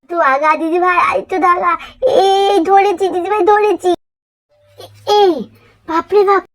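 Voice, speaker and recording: A very high, childlike voice plays a playful little village girl in a doll-story cartoon. She pleads insistently, repeating her phrases like an eager younger sister. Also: fairly even intonation, a little slow. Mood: anxious.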